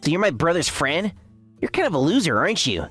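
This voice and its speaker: The smug campus underclassman who sneers at his seniors in an animated drama, low-voiced and swooping, acted by a woman, music underneath. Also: a little fast, breathy edge, heavily acted. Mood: contemptuous. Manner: sneering, condescending. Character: smug, mocking young man, a university underclassman. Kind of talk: anime-style story dub acting.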